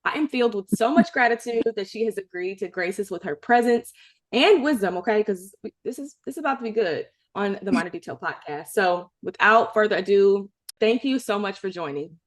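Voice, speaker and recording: A woman's voice: medium pitch, lively intonation, fairly quick. A young adult woman hosting a podcast, from Chicago, chatting with enthusiasm and saying y'all and okay a lot. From Chicago, USA. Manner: enthusiastic, warm-hearted, says y'all and okay often. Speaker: podcast host. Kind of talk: casual interview chat.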